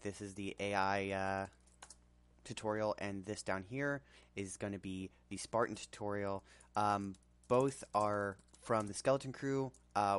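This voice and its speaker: A man with a fairly low voice presents a casual screen tutorial. The recording is dry and close, and his delivery is informal and somewhat hesitant. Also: clear, resonant tone, no room sound.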